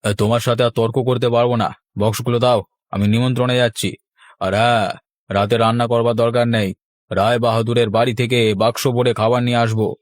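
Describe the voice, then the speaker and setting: A stingy cartoon husband, played by a man, grumbles and scolds in a mid-pitched voice. He is sarcastic and always ready to argue. The sound is close and dry, with no room around it. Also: level tone, fast talker, no room sound, lightly acted. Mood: grumpy. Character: stingy, grumbling married man who loves free feasts. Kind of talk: Bengali cartoon voice acting.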